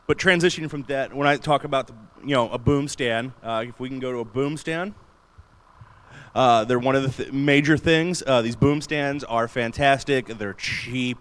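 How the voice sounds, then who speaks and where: A man with a booming voice giving an enthusiastic, chatty panel talk in a large convention room. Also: moderate pace, fairly bright tone, clear, resonant tone. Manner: enthusiastic, chatty, laughs often. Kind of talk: casual panel talk on streaming gear.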